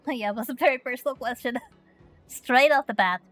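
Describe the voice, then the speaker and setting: A woman's voice: sits high, fairly bright tone. A woman from Finland streaming a story game, chatting playfully and reading questions aloud.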